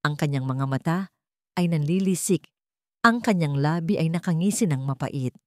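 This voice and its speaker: Female storyteller, lowish voice, very animated delivery, suspenseful and theatrical dramatic narration. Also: normal speed, no room sound, clean studio sound.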